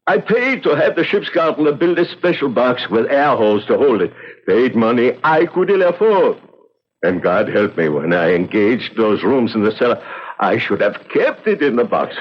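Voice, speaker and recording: An actor playing a poor, guilt-ridden man confessing in a 1970s radio drama, pleading and excitable as he justifies himself. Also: mid-range voice, moderate pace. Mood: pleading, regretful. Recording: dull, lo-fi old radio recording.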